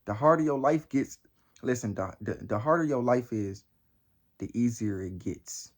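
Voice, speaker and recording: A nineteen-year-old man giving a motivational talk, emphatic and repetitive, with heavy slang and swearing, his voice climbing high and loud when he presses a point. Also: animated, normal speed. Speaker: motivational vlogger.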